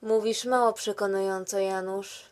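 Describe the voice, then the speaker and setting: A woman's voice: medium pitch, steady tone, normal speed, natural voice. A woman playing a wry, skeptical narrator in a scripted comedy, questioning the hero in a dry, teasing way.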